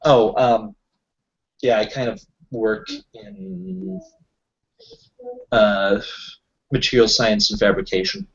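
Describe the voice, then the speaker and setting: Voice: medium pitch, very animated, takes it slowly, gravelly, long pauses, husky, muffled recording. A roleplay player improvising casual in-character talk as an affable tech contractor, explaining things in a hesitant, rambling way. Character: Kyle, affable self-employed tech contractor.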